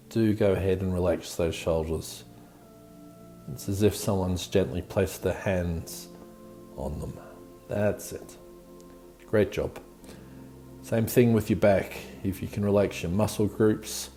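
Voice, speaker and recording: A man leading a guided meditation in a soothing, gently encouraging way, giving calm instructions as the host. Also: sits low. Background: background music.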